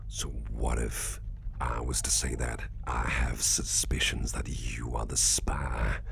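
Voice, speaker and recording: Man playing a very deep, gravelly whispering menacing boss. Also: flat delivery, fairly bright tone, slight background noise, heavily acted. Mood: suspicious, probing. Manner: slow, deliberate, probing, with musing hums. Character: suspicious, menacing male boss interrogating an employee, coolly courteous. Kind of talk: whispered ASMR roleplay.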